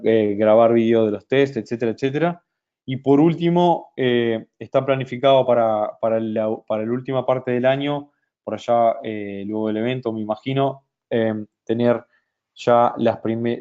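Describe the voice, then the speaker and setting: A man presenting a software product in a webinar, talking slowly with many fillers and restarts. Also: mid-range voice, dull audio. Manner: hesitant, many fillers and restarts, speaks slowly in Spanish for a Brazilian audience.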